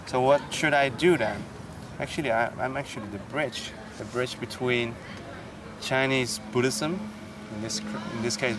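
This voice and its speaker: Halting Dutch-born man, native Dutch speaker. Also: medium pitch, noisy background. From the Netherlands. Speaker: temple tour guide. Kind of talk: reflective interview talk.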